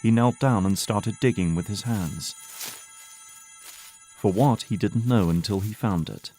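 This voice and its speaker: A man's voice: on the low side, unhurried, dark tone, faint music behind. A man narrating an audio drama in a scripted, dramatic storytelling style.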